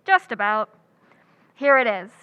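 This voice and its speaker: A woman's voice: a little high, very animated, measured pace, clean tone, narrow, tinny audio. A woman playing a wry, lovelorn schoolteacher in old-time radio comedy, with dry, theatrical wisecracks. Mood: sardonic. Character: wry, lovelorn female high school English teacher.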